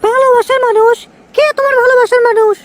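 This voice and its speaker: A performer voicing a brisk, bossy village mother in a comic cartoon skit, with a squeaky voice and a Bogura accent from northern Bangladesh. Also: fairly even intonation, crowd noise. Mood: impatient. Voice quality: very high, squeaky pitched-up voice.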